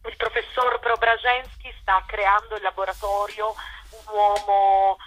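A woman's voice: medium pitch, level tone, a little slow, a bit bright, poor audio. A woman explaining her work spontaneously in an interview, in a discursive, self-correcting way. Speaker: theatre director, interview guest.

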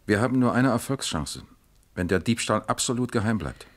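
Man playing east german police captain, lowish voice, matter of fact, gives orders, radio crime play. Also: expressive, fairly quick, natural voice. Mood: brisk, commanding.

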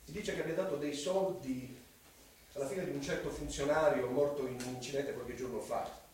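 An actor playing a pompous, easily offended suitor in a radio drama, with a formal, indignant manner. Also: male voice, medium pitch, fairly quick, darkish tone, a little hiss or hum, natural voice. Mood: contemptuous. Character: pompous, offended, arrogant middle-class suitor. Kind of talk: Italian radio drama acting. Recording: very echoey, distant, music underneath.

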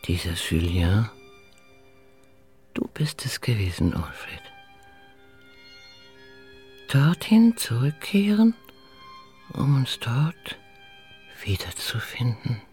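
A woman playing a composed, wistful lady of the house in a German radio drama, speaking slowly and deliberately. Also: a bass voice, big pitch swings, mellow, soft background music, full character voice. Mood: wistful, intimate.

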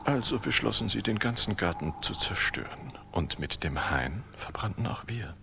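Ein Schauspieler spielt in einem literarischen Hörspiel einen klagenden Baum, gehaucht und theatralisch gesprochen. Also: male voice, fairly low pitch, expressive, normal speed, gravelly, in a whisper, full character voice. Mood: mournful. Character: mournful male talking tree, plant of love on the sun. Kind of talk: literary radio drama acting. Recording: studio radio play with loud music underneath.

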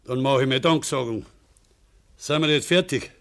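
An actor playing a bitter, stubborn old peasant farmer in a radio play, curt, sarcastic and defiant, in Bavarian dialect. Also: male voice, medium pitch, moderate pace, gravelly, close to an everyday voice. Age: older.

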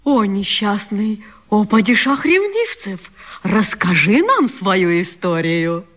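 An actress in a radio play voicing a storyteller wife from Arabian tales, ornate and declamatory. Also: female voice, mid-range voice, big pitch swings, slow pace. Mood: gently pleading. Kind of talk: radio vaudeville acting.

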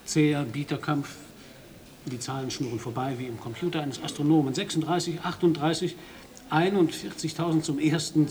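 An actor playing a radio correspondent in a radio play, narrating his report in a dry, measured, observant way and questioning a host. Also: on the high side, close to an everyday voice. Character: male foreign radio correspondent, wry observer.

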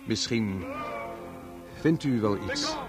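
An actor playing a chummy, unctuous radio reporter doing mock live commentary in a radio drama. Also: male voice, fairly low pitch, animated, takes it slowly, over loud music. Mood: smug sign-off. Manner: cheerfully unctuous, chatty. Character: smarmy, chummy male radio reporter at a grim spectacle.

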